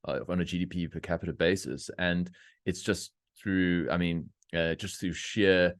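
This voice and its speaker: A middle-aged man from South Africa hosting a podcast, talking in opinionated, animated rants with laughter. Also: deep voice, normal speed, no echo, clean studio sound. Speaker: podcast host. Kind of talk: casual interview chat.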